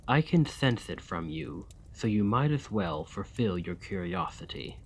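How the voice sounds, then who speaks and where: A man playing a weary, courtly, centuries-old vampire and former soldier in an ASMR roleplay, speaking in formal, old-fashioned, measured diction. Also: fairly low pitch, sing-song, slightly rough, heavily acted. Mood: wry, insistent.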